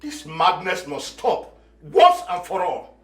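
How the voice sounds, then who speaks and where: A man's voice: high-pitched, huge pitch swings, slow pace, echoey room, soft background music, exaggerated voice. An actor playing a stern king who is outraged at his children's fighting. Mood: angry. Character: angry middle-aged king, stern father.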